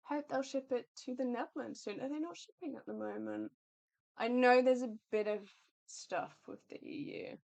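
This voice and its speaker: A woman co-hosting an art livestream in a chatty, upbeat, rambling way, her voice going slightly hoarse. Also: fairly high pitch, moderate pace, a little mellow. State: losing her voice. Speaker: livestream co-host, artist. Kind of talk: casual live chat.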